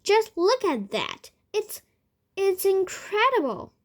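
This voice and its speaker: Lady reading as an excited little boy in a kids' story, high voice, shouty and amazed. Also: very slow, full character voice. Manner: exclaiming. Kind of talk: children's storybook read aloud.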